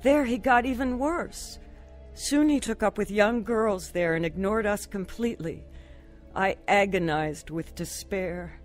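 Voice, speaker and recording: A woman's voice: medium pitch, a little slow, soft background music, close to an everyday voice. An actress playing a troubled wife and mother who tells her life story in an earnest, confessional radio drama style. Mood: regretful. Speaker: lead actress narrating. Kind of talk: radio drama first-person narration.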